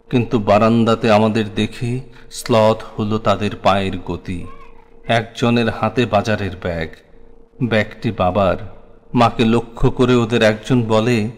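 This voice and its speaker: A man with a Bangladeshi accent reciting a scripted memoir in a solemn, dramatic, literary way. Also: on the low side. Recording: long hall-like reverb.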